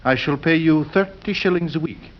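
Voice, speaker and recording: A man playing a pious, weary and mysterious gentleman lodger with a sharp temper, speaking formally and deliberately in 1940s radio drama style. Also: on the high side, close to an everyday voice. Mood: weary, solemn. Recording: muffled vintage 1940s radio recording.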